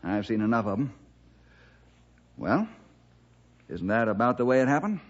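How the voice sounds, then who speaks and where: Steady, devout American investigator, measured and firm, 1960s radio drama, slightly dull archival sound. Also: male voice, medium pitch. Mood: accusing. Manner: measured, probing, moralizing. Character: steady, principled man, devout leader and investigator. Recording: dull vintage radio recording.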